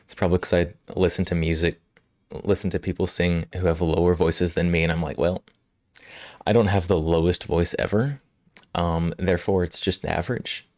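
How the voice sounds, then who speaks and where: A male livestream host chatting casually with viewers, slightly hoarse from a cold, relaxed and quick to laugh. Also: sits low, no echo, studio quality. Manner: relaxed, laughs often, answers chat. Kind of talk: casual chat with live chat. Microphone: studio microphone.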